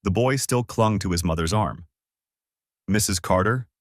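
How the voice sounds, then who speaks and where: A man's voice: sits low, normal speed, dry recording, clean studio sound. A man narrating a scripted story in a dramatic, solemn, suspenseful way.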